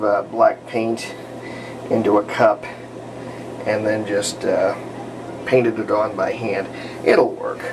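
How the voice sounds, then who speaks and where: Casual man with a gravelly, creaky voice. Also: fairly low pitch, a little hiss or hum, rough recording. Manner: unhurried, rambling, explaining step by step. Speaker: hobbyist radio restorer presenting own repair videos. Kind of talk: casual hands-on restoration commentary. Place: indoors at home, partly in a bathroom.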